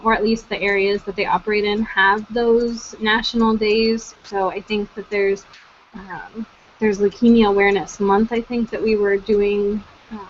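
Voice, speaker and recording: Woman, meeting host on a video call, mid-pitched, hesitant fillers, dull lo-fi sound. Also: slight background noise. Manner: hesitant, many ums and uhs. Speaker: network coordinator, meeting host. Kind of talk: casual online meeting update.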